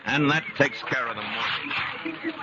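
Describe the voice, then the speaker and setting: An actor playing a calm, firm frontier marshal in a vintage radio drama, plainspoken and measured. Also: male voice, mid-range voice, heavy background noise. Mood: steady. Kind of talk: 1950s radio drama acting. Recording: dull vintage radio recording.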